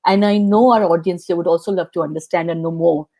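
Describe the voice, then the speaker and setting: A woman hosting an interview, asking gentle, earnest questions. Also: fairly low pitch, fairly even intonation, quick pace, gravelly. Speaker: interview host.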